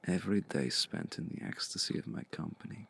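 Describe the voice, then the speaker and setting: A man playing a seductive, commanding male vampire in an intimate ASMR roleplay, speaking slowly and deliberately in a hushed, breathy voice close to the mic. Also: sing-song, full character voice. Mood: seductive, coaxing. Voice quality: low, gravelly, breathy. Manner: slow, deliberate, hushed, often breathy near-whisper. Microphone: close mic, dry.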